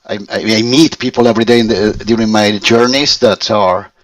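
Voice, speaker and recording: Man in his fifties, Spanish accent, halting and searching for words, distant from the mic, dry sound. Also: lowish voice, fairly even intonation, dry recording. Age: 50s. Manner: hesitant, many restarts and fillers. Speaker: language student. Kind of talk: conversation practice over a call. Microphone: call microphone.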